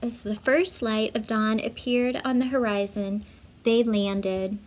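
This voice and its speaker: Slow, high-pitched woman reading a storybook. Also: a little hiss or hum. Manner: expressive, measured storytelling. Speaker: storybook reader for schoolchildren. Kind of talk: children's read-aloud narration with asides to listeners.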